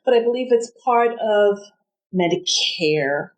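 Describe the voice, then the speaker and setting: A woman's voice: mid-range voice, lively intonation, unhurried. A woman from New Jersey, an interview guest, earnestly recounting her family's caregiving step by step, often restarting her sentences. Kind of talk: conversational interview, personal storytelling.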